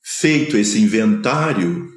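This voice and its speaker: A man from Minas Gerais, Brazil, speaking with a Minas Gerais accent, gives a slow, measured spiritual lecture, pausing between short phrases. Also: fairly low pitch, expressive, reverberant. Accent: Brazilian Portuguese, Minas Gerais. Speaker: spiritual teacher. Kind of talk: spoken lecture on scripture.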